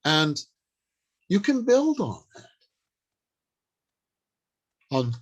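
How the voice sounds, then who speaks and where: A man presents an informal workshop on the craft of writing at a slow pace. He delivers his advice deliberately, in short phrases broken up by pauses. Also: a little high, huge pitch swings, slightly rough. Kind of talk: informal instructional talk on writing.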